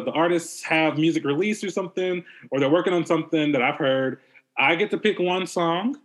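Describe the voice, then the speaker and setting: A man's voice: mid-range voice, a bit bright. Easygoing man hosting a podcast. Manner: rambling, upbeat, lots of fillers. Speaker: podcast host. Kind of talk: casual chat.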